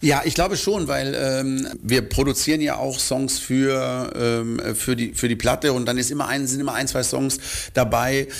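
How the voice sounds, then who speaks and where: Sänger mit kratziger Stimme im lockeren Gespräch. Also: male voice, mid-range voice, steady tone, moderate pace, vocal fry. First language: German. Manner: rambling, self-correcting, chatty. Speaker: interviewed singer. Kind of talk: relaxed interview answers.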